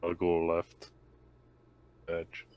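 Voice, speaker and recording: Guy playing tabletop rpg, deep voice, takes his time, halting casual phrases. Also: monotone, smooth, dull audio. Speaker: player in a tabletop roleplaying game. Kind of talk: casual chat.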